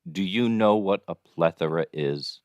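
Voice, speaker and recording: A man's voice: low-pitched, unhurried, a little mellow, smooth, dry recording, muffled recording. A man producing a podcast, congested from allergies and losing his voice, teasing his co-host in casual, dry banter. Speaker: executive producer.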